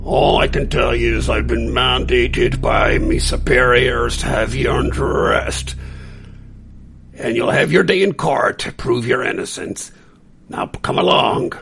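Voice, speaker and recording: A duty-bound sheriff, acted by a man, speaks bluntly and plainly. His voice has a rough, gravelly fry. He sounds like a small-town lawman in a radio play. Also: mid-range voice, measured pace, fairly bright tone, a little hiss or hum. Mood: stern. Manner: blunt, matter-of-fact.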